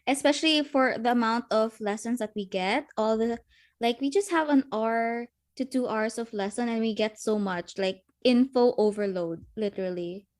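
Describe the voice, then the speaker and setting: Casting note: young Filipino woman, slightly high voice, giggly and agreeable podcast guest. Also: moderate pace. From Philippines. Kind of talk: casual chat, mixing English and Filipino.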